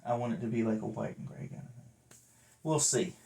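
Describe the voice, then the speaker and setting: A man's voice: mid-range voice, steady tone, brisk, gravelly, mellow. Blunt man in casual vlog talk. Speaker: vlogger and wrestling belt collector reviewing a belt. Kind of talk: casual, rambling review talk to camera.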